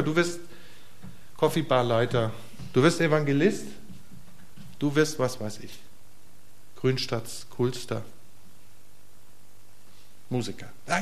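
A middle-aged man preaching a casual sermon to a congregation in a church, asking them questions and swinging between emphatic bursts and quiet, soft asides. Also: fairly high pitch, expressive, unhurried, long pauses. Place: church hall before a congregation.

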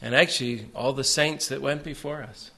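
Bright-voiced American preacher. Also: male voice, medium pitch, steady tone, vocal fry. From United States. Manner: speaks in short phrases, pausing for translation. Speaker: visiting preacher. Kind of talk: preaching through an interpreter.

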